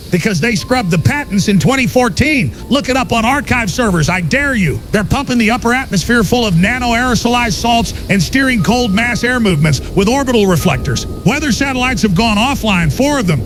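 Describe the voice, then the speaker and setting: A man's voice: animated, fairly quick, few pauses, over music. A man playing a paranoid conspiracy broadcaster, urgent, insistent and accusatory, in an apocalyptic radio drama. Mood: accusing, urgent. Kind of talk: apocalyptic radio rant, fictional audio drama. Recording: crackly static-laden radio broadcast, rumbling noise.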